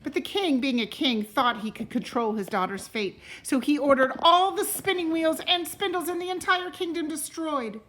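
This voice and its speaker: A woman reading a storybook aloud to a class as a teacher, in a deliberate, expressive way. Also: sits high. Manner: deliberate and expressive, pausing to question listeners.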